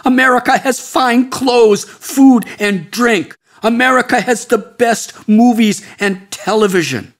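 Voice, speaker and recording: A man voicing a bitter, angry Indian sadhu in an audiobook, scornful and emphatic, with a husky voice. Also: extremely high pitch, measured pace, hardly any pauses, voice pushed up. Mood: furious. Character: bitter, angry male Indian sadhu of middle age or older.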